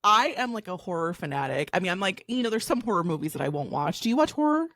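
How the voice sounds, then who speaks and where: A woman's voice: on the low side, very animated, fast talker, a bit bright, no room sound, clean studio sound. A woman co-hosting a podcast, talking in a bubbly, chatty way with lots of fillers. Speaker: podcast co-host. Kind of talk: casual chat.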